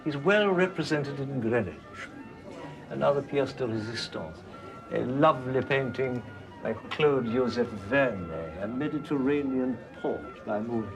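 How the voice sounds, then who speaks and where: A man's voice: mid-range voice, lively intonation, measured pace, low-quality recording. An actor playing a cultured, lecturing male art expert in a British TV drama. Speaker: gallery guide. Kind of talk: 1980s British TV drama acting. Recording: TV soundtrack with music underneath.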